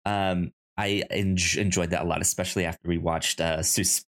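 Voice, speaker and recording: A man co-hosting a casual film discussion, speaking haltingly and often repeating syllables and words in a stutter. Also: low voice, little pitch movement. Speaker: podcast co-host.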